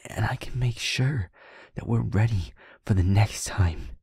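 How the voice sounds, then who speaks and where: Man acting a husky, half-whispering young werewolf boyfriend. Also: lowish voice, a little mellow, no room sound, exaggerated voice. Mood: anguished, resolute. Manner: halting, slow, heavy pauses. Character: feisty young male werewolf boyfriend, wounded, determined, tender. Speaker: audio roleplay voice actor. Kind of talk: intimate boyfriend audio roleplay.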